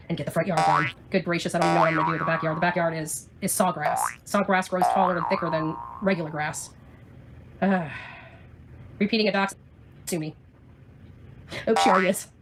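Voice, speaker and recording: A woman ranting on a livestream in a combative, sarcastic, foul-mouthed way. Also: medium pitch. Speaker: livestream host.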